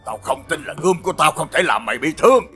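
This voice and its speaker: A cartoon warlord, brutal and easily enraged, barking orders in a bright, forceful voice that swings widely in pitch. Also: male voice, expressive, fast, faint music behind. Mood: defiant. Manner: blustering, commanding. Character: brutal, boastful enemy general, adult man, easily enraged. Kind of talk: animated fairy-tale voice acting.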